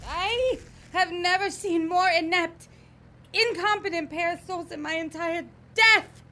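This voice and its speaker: A woman's voice: very high-pitched, bright, forceful tone, a little hiss or hum, heavily acted. A woman playing an exasperated, haughty middle-manager demon who berates people in an audio drama. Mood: scornful. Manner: haughty, berating, drawn-out emphasis.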